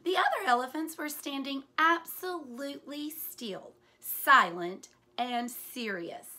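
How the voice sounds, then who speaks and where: A woman in her forties reads a picture book aloud as a teacher would, in an animated, deliberate way with hushed asides. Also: high-pitched. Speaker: teacher reading aloud to children. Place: small furnished room indoors.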